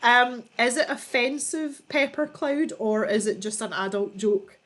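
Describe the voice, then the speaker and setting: A woman's voice: fairly high pitch, unhurried, a bit bright. A woman hosting a casual livestream, reading out viewer jokes and laughing often as she chats. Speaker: livestream host.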